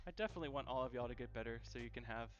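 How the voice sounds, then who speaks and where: A man with a mid-pitched voice chats casually as he hosts a cooking stream from his home kitchen. He is easygoing and tends to repeat himself for emphasis. The sound is dull and lo-fi, with music clearly playing along. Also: very fast. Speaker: host of a live cooking stream. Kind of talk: casual live-stream chat while cooking. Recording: dull, lo-fi livestream audio.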